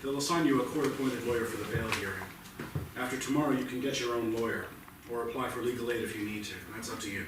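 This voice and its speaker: Blunt, procedural stage-play detective, acted by a man: medium pitch, echoey theatre, noticeable background noise. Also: quick pace, lightly acted. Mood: matter-of-fact. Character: firm, matter-of-fact male police detective. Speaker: actor. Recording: echoey theatre, distant mic, noisy background.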